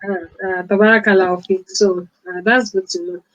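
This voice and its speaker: Woman host, warm-up questions and steady encouragement, muffled lo-fi line. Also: mid-range voice, moderate pace. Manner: encouraging, frequent affirmations. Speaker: interview host. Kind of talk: casual interview chat. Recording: dull, lo-fi sound.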